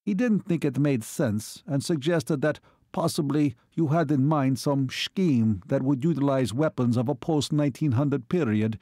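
A man voicing a suave, gently menacing Hungarian military attaché in a story, formal and deliberate. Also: medium pitch, no room sound, clean studio sound, pitched above the performer's own voice, lightly acted. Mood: smooth, knowing.

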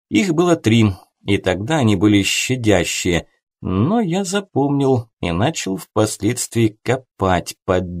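A man's voice: lowish voice, darkish tone. A man reading a scripted first-person story as an audiobook narrator.

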